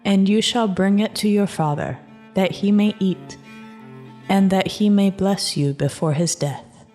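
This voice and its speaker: An actress playing an earnest, commanding mother in a dramatized scripture reading, deliberate and insistent. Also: mid-range voice, dark tone, lightly acted. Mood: urgent, commanding. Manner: deliberate, insistent archaic delivery. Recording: string music under the voice.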